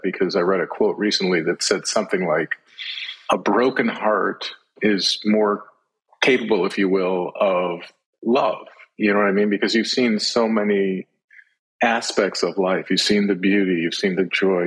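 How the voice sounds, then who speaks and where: A middle-aged man speaking as an interview guest in a reflective, conversational way with measured phrasing. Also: deep voice, a bit bright, slightly rough, rough recording. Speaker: podcast guest, speaker and writer.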